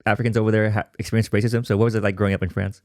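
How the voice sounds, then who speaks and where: A man hosting a podcast, with a fairly low voice recorded dry and close to the mic. He asks his questions in a relaxed, friendly way. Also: racing, dry recording. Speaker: podcast host. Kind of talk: interview conversation.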